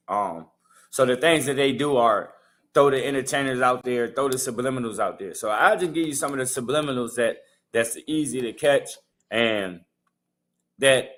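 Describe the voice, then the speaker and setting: A man co-hosting a podcast, speaking casually and hesitantly with a measured delivery. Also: medium pitch, a bit bright. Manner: hesitant, many fillers and restarts, measured. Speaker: podcast co-host. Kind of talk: casual opinion talk.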